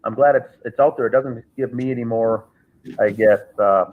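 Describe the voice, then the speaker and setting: Halting man over a tinny call line. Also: medium pitch, steady tone. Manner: measured, halting with restarts. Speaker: guest. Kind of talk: recounting events in a remote call. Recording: tinny phone-like call audio.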